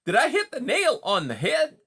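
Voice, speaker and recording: A narrator voicing a huge male beaver in a storybook, a brash, folksy, smooth-talking bully who talks over others. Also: sits high, huge pitch swings, moderate pace, sharp tone, pitched above the performer's own voice, heavily acted. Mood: brash, interrupting. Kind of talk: audiobook character dialogue.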